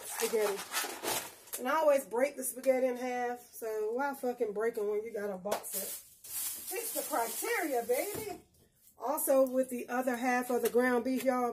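A woman's voice: fairly high pitch, a little mellow. A middle-aged woman vlogging casually from a small home kitchen, talking bluntly and cussing freely as she chats to her viewers. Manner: chatty, blunt, cusses freely, addresses viewers as y'all. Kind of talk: casual grocery haul vlog. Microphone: phone camera a few feet away.

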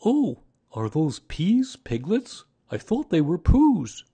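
Casting: simple, proud, lovable bear for a children's tale, voiced by a man, growly and husky, raised in pitch. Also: wildly swooping pitch, takes it slowly, dark tone, full character voice. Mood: proud, earnest. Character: proud, slow-witted, lovable male bear.

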